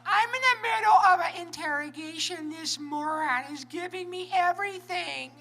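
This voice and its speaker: A man's voice: very high voice, normal speed, sharp tone, heavily acted. A man voicing a sassy, coy and easily exasperated female spy in a comic live script reading.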